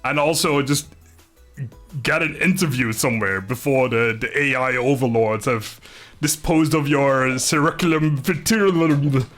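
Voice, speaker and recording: A man from the Netherlands chats loosely on a livestream at a middle pitch, with music playing behind him. He sounds low on energy and laid-back, rambling from one thought to the next. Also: unhurried, a bit bright. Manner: rambling, laid-back, swears mildly, often chuckles. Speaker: live streamer. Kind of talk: casual livestream chat with viewers.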